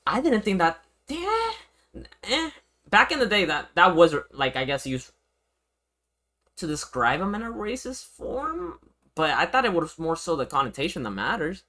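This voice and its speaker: Casting: young male streamer from Venezuela, casual reaction chat, wide swings in pitch, sharp-edged tone, slangy and repetitive. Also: moderate pace. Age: young adult. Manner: animated, slangy, swearing, repetitive.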